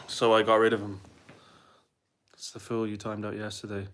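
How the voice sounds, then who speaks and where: A man's voice: lowish voice, completely flat delivery, normal speed, fairly bright tone. A young man in his twenties from the UK, chatting casually into a phone on a livestream at home, rambling and answering viewers' comments. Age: 20s. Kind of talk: casual live-stream chat. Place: home indoors.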